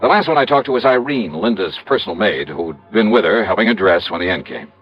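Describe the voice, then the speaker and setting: A man's voice: fairly low pitch, lively intonation, fast talker, husky, soft background music. An American man playing a shrewd, clipped hard-boiled investigator who narrates his case in a 1950s radio drama. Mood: reflective narration. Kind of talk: 1950s radio detective acting. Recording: dull, lo-fi old radio recording.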